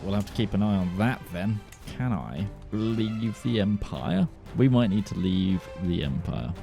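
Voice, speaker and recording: Guy talking through his strategy game run, lowish voice, faint music underneath. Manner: chatty, thinking aloud, quick swings between flat and excited. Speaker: gaming YouTuber. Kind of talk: casual game commentary.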